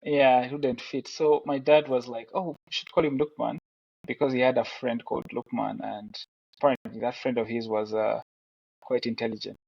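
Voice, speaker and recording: A young man from Uganda, a film director, talking casually and haltingly with many restarts on a headset during a video call. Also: medium pitch, vocal fry, breathy edge, no room sound. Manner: relaxed, halting, self-deprecating with restarts. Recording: dry, dull lo-fi call audio.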